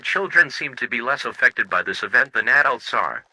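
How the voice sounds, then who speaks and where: A man's voice: medium pitch, big pitch swings, normal speed, sharp tone, low-quality recording. A man reading scripted emergency broadcast announcements in a formal, impersonal, stiff manner.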